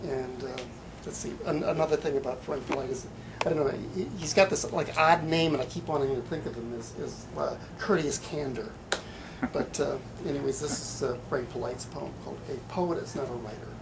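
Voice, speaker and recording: A man giving a live poetry reading in a bookstore, with halting, hesitant asides and deliberate delivery. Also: mid-range voice, vocal fry. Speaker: poet. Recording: light background room noise.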